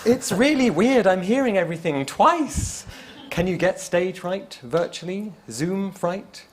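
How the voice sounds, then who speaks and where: Man poet, slightly higher voice, playful reading with repeated lines. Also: measured pace, slight background noise. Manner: playful, lively reading with laughs. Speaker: event poet.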